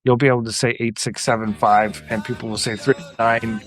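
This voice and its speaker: A man's voice: mid-range voice, level tone, fairly quick. A man co-hosting a podcast, chatting casually about music. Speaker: podcast co-host.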